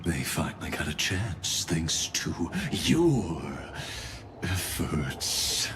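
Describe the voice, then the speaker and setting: A male voice actor playing a cold, courtly genius villain in a video game, speaking formally with measured, ominous courtesy. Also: sits low, sing-song, noisy background, full character voice. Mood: composed. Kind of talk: scripted video game dialogue.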